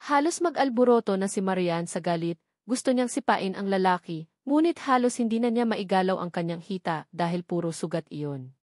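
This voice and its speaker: A woman's voice: mid-range voice, fairly quick, darkish tone, no room sound. A woman reads a dramatic novel aloud as a scripted narrator. Her voice sits in a middle register, and the recording is dry with almost no room sound. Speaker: audiobook narrator.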